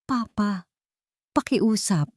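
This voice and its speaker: A woman's voice: medium pitch, expressive, slow pace, dry recording, studio quality, pitched above the performer's own voice. A woman voicing a desperate, weeping young pregnant heiress in an audiobook drama, pleading in anguish. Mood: weary pleading.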